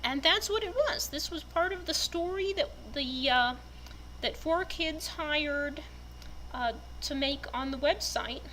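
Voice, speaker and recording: A woman with a high, bright voice narrates as an enthusiastic fan. Her delivery is very animated and expressive, like someone reading aloud for fellow fans. A faint noise sits behind her. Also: rough recording. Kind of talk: dramatic reading and casual fan commentary.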